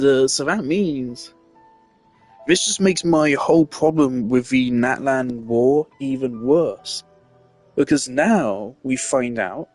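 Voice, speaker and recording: A man giving casual, rambling gameplay commentary, blunt and swearing freely. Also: medium pitch. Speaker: gaming streamer. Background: faint game music.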